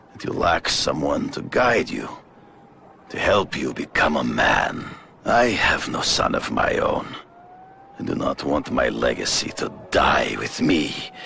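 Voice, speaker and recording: A proud, aging master hunter in a video game. His gravelly voice swings widely in pitch, with a forceful edge. He speaks formally and gravely, as a man bound by honor. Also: slight background noise, exaggerated voice. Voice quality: deep, gravelly.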